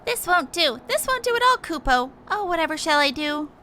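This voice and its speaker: A woman's voice: extremely high pitch, big pitch swings, a little fast, sharp tone, slight background noise, full character voice. A woman voicing a fretful, fussy little moogle gardener from a video game, plaintive and aggrieved. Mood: distressed, indignant. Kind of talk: reading game dialogue in character.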